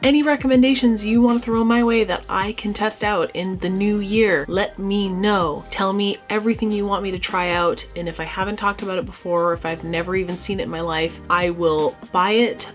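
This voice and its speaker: Chatty young woman beauty vlogger. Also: mid-range voice. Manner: enthusiastic, chatty, addresses viewers directly. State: getting hoarse. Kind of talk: casual chatty product roundup. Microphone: camera mic.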